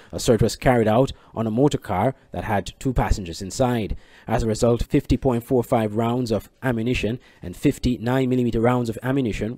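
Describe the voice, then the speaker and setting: A man's voice: mid-range voice, dark tone, no echo. A man reading scripted news reports as a reporter.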